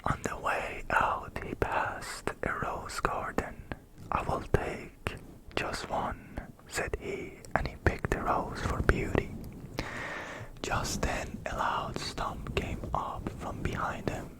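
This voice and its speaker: Slow, whispering ASMR storyteller. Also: rough recording. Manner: soft, unhurried, simple storybook delivery. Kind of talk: whispered ASMR fairy-tale narration.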